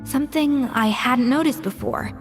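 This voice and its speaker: A woman's voice: sits high, moderate pace, in a large hall, faint music behind, close to an everyday voice. A woman voicing a proud, exacting young chef in an anime game, speaking in an earnest, articulate, reflective way. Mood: uneasy, defensive.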